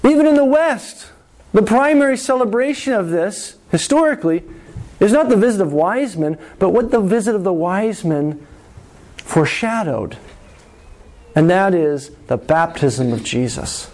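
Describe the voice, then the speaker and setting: A man preaching a sermon to his congregation, slow and deliberate, with dramatic pauses and repetition. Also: high voice, expressive, a little mellow. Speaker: pastor.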